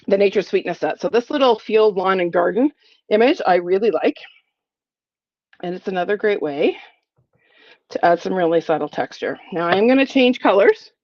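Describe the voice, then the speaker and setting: A woman's voice: mid-range voice, animated, normal speed, long pauses, dry recording. Woman in her forties, slightly sniffly, chatty crafting demo, dry muffled sound. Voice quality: a little sniffly. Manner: chatty, thinking aloud while working. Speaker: crafting demonstrator. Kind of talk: live crafting tutorial. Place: home craft room.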